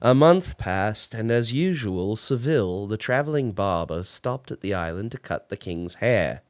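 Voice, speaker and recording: A man reading a children's storybook aloud as an expressive narrator. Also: lowish voice, measured pace, no room sound. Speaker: storybook reader.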